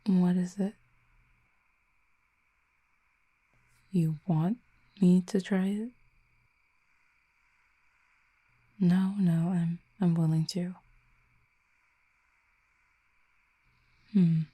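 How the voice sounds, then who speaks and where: A woman playing a gentle, wistful cupid exiled from her home, talking softly and slowly to the listener, often close to a whisper, in an audio roleplay. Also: fairly low pitch, fairly even intonation, slightly rough. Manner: soft, hushed and slow, often near a whisper.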